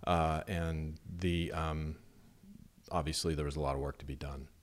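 A middle-aged man from Las Vegas, an architect, talking in a measured, thoughtful way with many fillers in an interview. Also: very deep voice, steady tone. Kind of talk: relaxed conversational interview.

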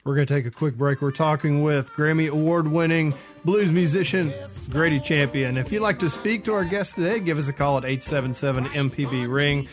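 Friendly-sounding radio host man, slightly high. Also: a little fast, music in the background. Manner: conversational, lots of affirming replies. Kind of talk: casual radio interview.

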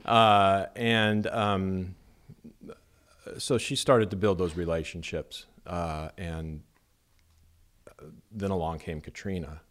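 Very deep-voiced middle-aged man. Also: steady tone, fairly bright tone. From Las Vegas. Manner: measured, thoughtful, with many fillers. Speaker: architect, interview guest. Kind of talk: relaxed conversational interview.

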